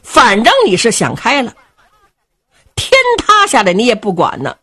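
Lady storyteller doing a dry wry strategist, low and quiet, a bit husky. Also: huge pitch swings, moderate pace, dry recording, full character voice. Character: wry, shrewd male strategist, Taoist-style advisor.

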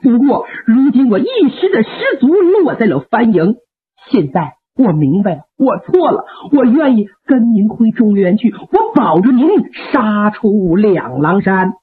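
A tearful young warrior son, acted by a woman storyteller, pleading in a raised, sobbing voice with lively swings of pitch. The husky sound comes through thin and phone-like. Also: a little slow, full character voice. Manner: weeping, pleading, high-strung. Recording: tinny phone-like radio recording.

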